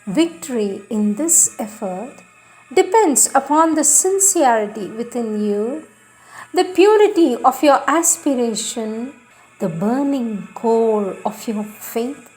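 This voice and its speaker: A woman reading spiritual texts aloud in a solemn, measured, deliberate devotional manner. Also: fairly high pitch, lively intonation, a little mellow, faint music behind, poor audio.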